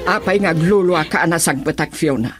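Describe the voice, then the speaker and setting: A woman's voice: deep voice, fairly quick, over loud music, lightly acted. An actress with an Ilocano Filipino accent playing a stern, probing mother in a radio drama. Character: stern, probing mother questioning her daughter.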